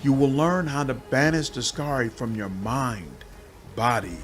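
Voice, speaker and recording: A man voicing a captive, hungry female demon swarm of many bodies in game dialogue, intoning words one by one in a halting manner. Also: medium pitch, natural voice. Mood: coaxing, solemn.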